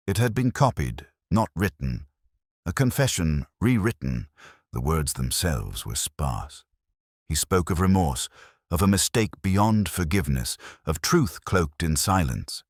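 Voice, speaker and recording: A man playing a world-weary, erudite police inspector, narrating a mystery in brooding, literary, measured phrases. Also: sits low, very animated, breathy edge, no room sound, studio quality, heavily acted. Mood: wry, somber. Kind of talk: scripted first-person mystery narration.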